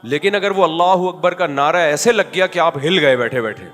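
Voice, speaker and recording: Animated preacher man in a reverberant hall. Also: fairly high pitch, a little fast, faint music behind. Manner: animated, storytelling with quoted dialogue and rhetorical questions. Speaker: Islamic preacher. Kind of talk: conversational religious talk.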